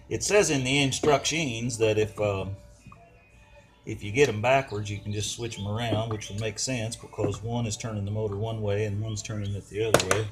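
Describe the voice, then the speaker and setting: Folksy older man, music behind. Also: on the low side. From United States. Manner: folksy, colloquial, muttering to himself while working. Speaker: DIY mechanic, video host. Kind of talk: casual hands-on repair narration.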